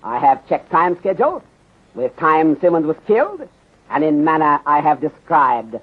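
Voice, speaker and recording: An actor playing a shrewd, courteous Chinese detective inspector in a 1930s radio serial, speaking formally and deliberately in clipped phrases that drop small words. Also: male voice, fairly high pitch, very animated. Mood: assured, explaining. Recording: muffled, husky-sounding 1930s radio recording.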